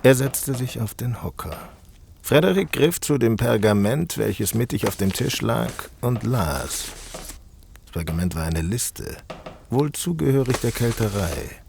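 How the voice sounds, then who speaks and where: A man's voice: mid-range voice, expressive, measured pace, creaky, a little mellow, a little hiss or hum. A man narrating a scripted fantasy audio drama in a theatrical, sardonic storytelling style.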